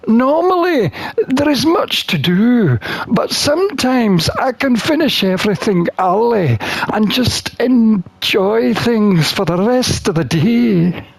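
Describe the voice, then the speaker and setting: Leisurely, expressive satyr herbalist read by a game streamer. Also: mid-range voice, slow, gravelly, no echo, heavily acted, poor audio. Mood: contented, musing. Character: contented satyr herbalist, wine-loving, narrating his days. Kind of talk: visual novel first-person narration.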